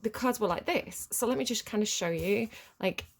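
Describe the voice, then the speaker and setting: A woman talks through a tarot reading in a loose, wandering way, stopping and restarting often. Her voice is sits at an ordinary middle pitch and is stuffy and sniffly from a cold. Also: moderate pace, creaky. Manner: rambling and hesitant, many ums and restarts. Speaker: tarot reader. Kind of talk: casual collective tarot reading to camera.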